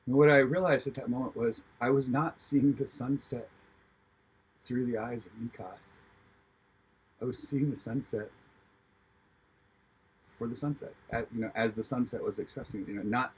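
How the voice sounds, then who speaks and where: A man from Hawaii telling a personal spiritual story in a halting, earnest way, with many restarts. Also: mid-range voice, breathy edge, rough recording. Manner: earnest, reflective. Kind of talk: personal testimony, casual storytelling.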